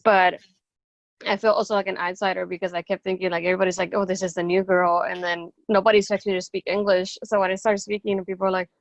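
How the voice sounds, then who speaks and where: A woman's voice: medium pitch, flat delivery, no room sound, muffled recording. A young woman from Puerto Rico interviewing an author over a video call, talking enthusiastically and acting out what people said. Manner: fast, enthusiastic, acts out quoted reactions. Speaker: interviewer, book reviewer. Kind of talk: casual interview chat.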